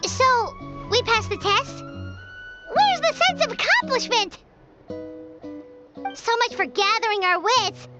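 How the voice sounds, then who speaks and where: A woman voicing a small, chirpy, blunt childlike companion in a video game, with a squeaky voice. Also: expressive, slow, long pauses, dull audio, exaggerated voice. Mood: excitable. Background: prominent game background music.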